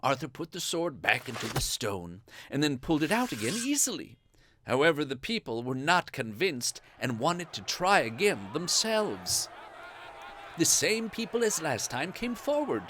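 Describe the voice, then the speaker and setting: A storyteller reading a scripted children's bedtime story in an expressive, animated way. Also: medium pitch, normal speed, slight background noise.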